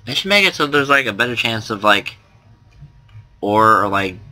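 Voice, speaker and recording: A man's voice: fairly low pitch, lively intonation, moderate pace, sharp tone. A young man with a slight lisp giving casual gameplay commentary, rambling with lots of fillers and restarts. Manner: rambling, self-deprecating, frequent fillers and restarts.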